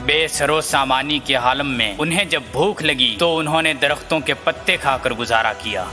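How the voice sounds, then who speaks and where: A man's voice: medium pitch, normal speed, bright, forceful tone. A man giving grave, scripted narration for an audio drama. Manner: grave, solemn storytelling. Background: music score.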